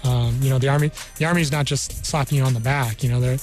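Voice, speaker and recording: Measured American man explaining, music behind. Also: mid-range voice, fairly even intonation, darkish tone. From New Mexico, United States. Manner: halting, measured, repeats "you know". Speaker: guest, army career counselor. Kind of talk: explanatory radio interview. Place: radio studio.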